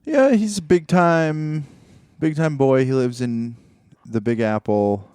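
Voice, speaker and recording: A man's voice: medium pitch, slow, a little mellow, smooth, dry recording. A man co-hosting a podcast with casual comedic banter, talking in a playfully pedantic way. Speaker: podcast co-host.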